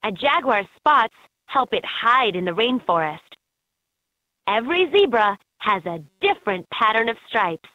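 A woman's voice: fairly high pitch, very animated, measured pace, pushed and bright, no echo, exaggerated voice. A woman reading scripted narration as the guide in a children's learning game, recorded as lo-fi toy audio. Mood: instructive.

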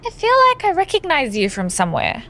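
A condescending female wrestler mocks her rival in a high, singsong voice that swoops up and down. She sneers through every line of this game cutscene. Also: wildly swooping pitch, a little fast, a bit bright, exaggerated voice. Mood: mocking. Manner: mocking, sneering.

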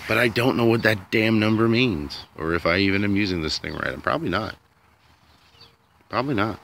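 A man's voice: on the low side, lively intonation, brisk, gravelly, fairly bright tone, slight background noise. A man vlogging outdoors in a sunny yard, thinking aloud in a rambling, unsure way while filming on a phone. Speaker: DIY vlogger. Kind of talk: casual thinking-aloud commentary.

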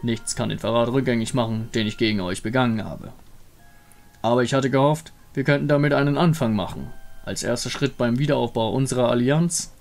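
Ein Mann spricht ein untotes Schattenwesen, unterwürfig, förmlich und hinterhältig, in einem Fantasyspiel. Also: male voice, mid-range voice, a little fast, vocal fry, natural voice. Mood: servile. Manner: obsequious, formal. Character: sly servile undead wraith, treacherous schemer.

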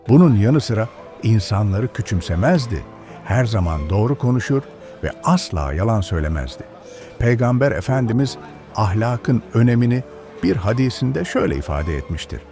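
A kind, patient village grandfather in an educational children's cartoon. His voice is creaky and very expressive, moving freely in pitch as he gently explains good manners. Soft music sits behind him. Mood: patient, instructive. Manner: instructive, gentle moralizing.

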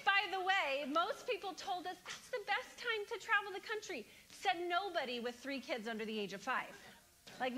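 A woman in her thirties giving a keynote sermon on a large church stage, talking to the congregation in an animated, conversational way and asking them questions. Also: sits high, slight background noise. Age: 30s. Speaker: guest speaker.

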